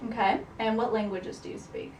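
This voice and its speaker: Young woman student, playful interviewer, slightly high, far from the mic with light hiss. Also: fairly even intonation. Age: young adult. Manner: chatty, teasing, quick to laugh. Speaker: student interviewing her family. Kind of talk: casual home interview with narration. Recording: roomy, distant camera mic, faint hiss.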